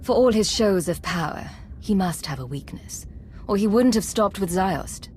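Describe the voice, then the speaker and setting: A woman playing a cool, composed agent and commander in a video game, speaking in a crisp, businesslike, controlled way. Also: mid-range voice, lively intonation, moderate pace, a little mellow, a little hiss or hum, natural voice. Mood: serious. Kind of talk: video game cutscene acting.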